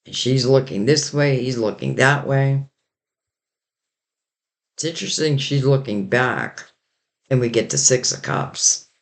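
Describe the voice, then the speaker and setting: Slow-talking man with a fading voice. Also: medium pitch. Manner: rambling, loose and intuitive, many "like" and "you know". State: losing his voice, hoarse. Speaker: tarot reader. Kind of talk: casual spoken tarot reading.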